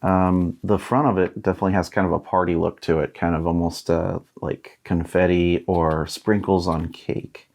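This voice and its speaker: A man's voice: low-pitched, little pitch movement, normal speed, creaky, few pauses, a little mellow. A man in his thirties giving laid-back, casual unboxing commentary as a host, musing aloud and reading out bits as he goes. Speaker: board game unboxing host.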